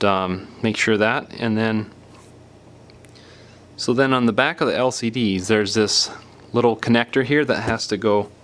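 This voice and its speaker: A man narrating a hands-on how-to in an unscripted, casual step-by-step way, sometimes leaving phrases unfinished. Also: on the low side. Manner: casual step-by-step explaining, trailing off mid-phrase. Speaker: repair tutorial presenter.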